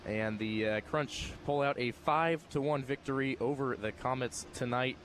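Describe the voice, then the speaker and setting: A man's voice: medium pitch, normal speed, fairly bright tone, slight background noise. A young American man doing sports commentary as a broadcaster, with live arena sound behind him. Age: young adult. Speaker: sports broadcaster, play-by-play announcer and postgame radio host.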